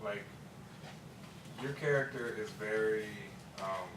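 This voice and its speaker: A man talks casually in a script discussion. His voice is a bit low. It is captured at a distance with echo and very loud noise. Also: slow. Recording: echoey room, distant mic, loud noise.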